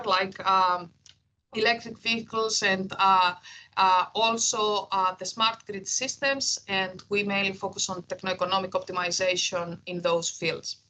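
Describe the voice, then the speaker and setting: Woman, Greek accent, middle-aged, online lecture, lots of fillers, bright tone. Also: medium pitch, low-quality recording. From Greece. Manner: frequent "uh" fillers, earnest and explanatory. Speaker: associate professor giving a keynote. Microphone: video call.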